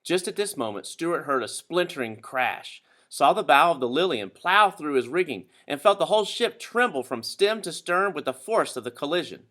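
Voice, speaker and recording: A man reading a children's story aloud with expressive, dramatic narration. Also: on the high side, normal speed, few pauses. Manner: dramatic, animated. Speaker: storybook reader.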